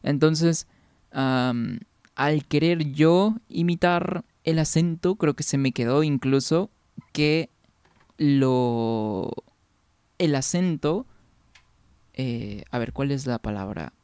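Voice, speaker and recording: A young man from Peru chatting loosely with his stream viewers, drifting from point to point and answering their comments. His voice is smooth and clean, sitting in the middle of the male range. The sound is close and dry, with no room to it. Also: mid-range voice, slow, long pauses, darkish tone, no room sound. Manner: rambling, reads viewer comments aloud and answers them. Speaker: game streamer. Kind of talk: casual chat with viewers during a game stream.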